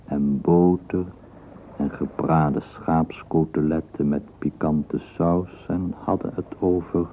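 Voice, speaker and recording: A tinny old radio recording carries a male storyteller with a low, husky voice. He narrates a literary drama slowly and deliberately, with measured, wry phrasing. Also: steady tone, slight background noise.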